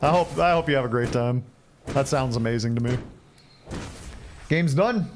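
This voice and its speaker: Male game streamer, medium pitch, playful and conversational, thinks out loud. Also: normal speed, a little hiss or hum. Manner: playful, thinking aloud, repeats phrases. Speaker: game livestreamer. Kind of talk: casual live gameplay commentary, chatting with viewers.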